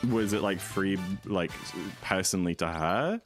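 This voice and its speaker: A young man with a deep voice, streaming and chatting easily with viewers in a rambling, relaxed way. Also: a little slow. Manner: rambling, easygoing, full of "like". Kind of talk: casual chat while gaming, answering chat. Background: music.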